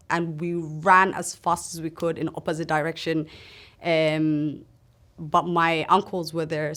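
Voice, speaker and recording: A woman from Somalia telling her own story in a sit-down interview, in an earnest, halting way. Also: on the low side, fairly bright tone. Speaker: interview guest. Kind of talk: personal testimony in a sit-down interview.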